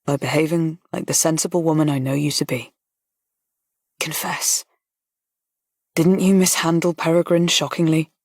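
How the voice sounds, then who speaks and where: A composed, good-natured colonel, read by a woman, low-pitched and even, talking plainly and gently. The voice is close and dry, with no room sound. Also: normal speed, pauses often, dry recording. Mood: firm, kind. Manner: pleasant, steady, gently frank. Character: kind, calm older male colonel. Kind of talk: audiobook character voice.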